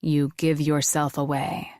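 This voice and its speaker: A woman's voice: low voice, level tone, a little mellow, no room sound, clean studio sound, voice pushed down. Woman voicing a cold, grave male angel. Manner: slow, grave and commanding. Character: ancient stern male angel, cold and menacing.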